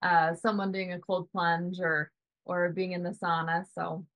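A woman's voice: on the low side, level tone, measured pace, fairly bright tone. A woman in her thirties, a sauna business owner, chatting casually on a video call from home, often tagging "right?" onto her points. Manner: chatty, hedging with "you know" and "right?".

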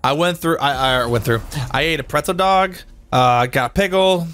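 A man's voice: on the high side, moderate pace, fairly bright tone. A young man hosting a let's play, chatting casually while gaming, joking and swearing freely.

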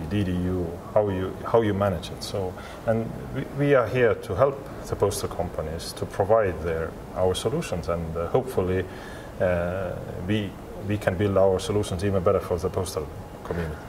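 A man in his forties giving interview answers as a company representative, in halting, repetitive non-native English. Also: deep voice. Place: large glass-walled expo hall, indoors. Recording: clear ambient hum of large venue.

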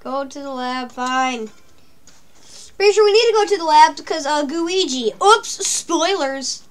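A woman's voice: high voice, animated, a little slow. A woman giving casual, jokey running commentary while she plays a video game, chatting to herself as she goes. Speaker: let's play gamer.

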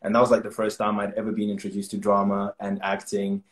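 A man's voice: on the low side, completely flat delivery, quick pace, echoey room. A young man from Zimbabwe, an actor guest talking earnestly and chattily on a video call from a small room, laughing often. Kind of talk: casual interview over video call. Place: small room indoors at home.

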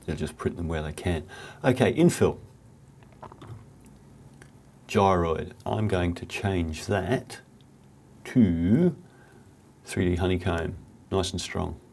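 A man in his forties with a cold and sniffles, chatting through a hands-on workshop tutorial in a relaxed, rambling way from his home garage. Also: deep voice, slow, vocal fry. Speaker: workshop channel host.

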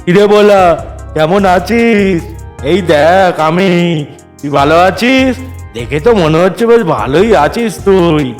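Cartoon casting: man as innocent, nosy ordinary fellow, high-pitched male, chatty. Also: expressive, unhurried, soft background music. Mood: eager, friendly. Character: naive, chatty living man wandering curiously among the dead. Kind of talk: Bengali cartoon voice acting.

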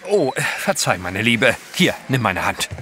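Posh courteous british gentleman, radio play, lively pitch, sound effects behind. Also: measured pace, noisy background. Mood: gallant. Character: genteel, adventurous British gentleman, fond husband. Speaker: actor in an audio drama. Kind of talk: German audio drama acting.

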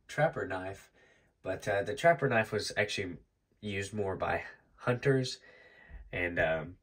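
A young man with a nasal, stuffy voice from allergies, chatting casually through a show-and-tell of his collection, relaxed and rambling with lots of ums. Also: lowish voice. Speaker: knife collector vlogger. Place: indoors at a table.

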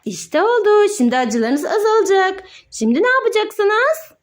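A woman's voice: very high-pitched, sing-song, normal speed. A woman playing with her child, narrating toy doctor play in a sing-song, encouraging way and explaining each step.

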